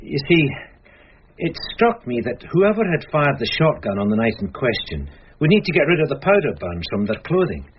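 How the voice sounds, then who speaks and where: An actor playing a dogged, deferential police sergeant with a British accent in a radio crime drama, respectful and procedural. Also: male voice, fairly high pitch, sing-song, moderate pace, breathy edge. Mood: matter-of-fact. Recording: dull, hissy old radio recording with clicks.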